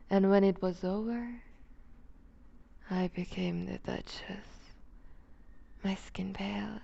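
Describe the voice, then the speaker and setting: Vampire duchess, female performer: predatory and teasing, very unhurried, dark mellow tone, whispered asides, intimate ASMR roleplay. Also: mid-range voice, steady tone, gravelly, slightly rough, lo-fi sound, full character voice. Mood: wistful, dark. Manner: slow, deliberate, purring condescension with whispered phrases. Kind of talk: ASMR roleplay audio drama.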